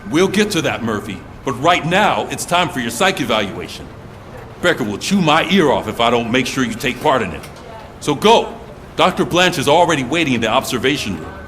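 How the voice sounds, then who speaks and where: An actor playing a no-nonsense police superior in a video game, speaking in an insistent, businesslike way. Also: male voice, mid-range voice, very animated, brisk, a bit bright, a little hiss or hum. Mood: brisk, insistent. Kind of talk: scripted game dialogue.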